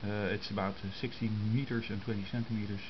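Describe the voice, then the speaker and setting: Male tutorial presenter, informal and halting delivery, dull camera audio with clear hiss. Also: on the low side, flat delivery. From Netherlands. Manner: halting, short phrases with pauses. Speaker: amateur radio hobbyist giving a tutorial. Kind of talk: informal step-by-step explanation of hand-drawn diagrams. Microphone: compact camera's built-in microphone. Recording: muffled camera microphone with hiss.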